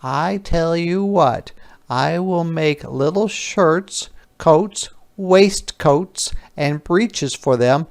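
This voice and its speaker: A man voicing a kindly, grateful shoemaker's wife in a gentle, deliberate storybook manner. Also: a little high, voice pushed up.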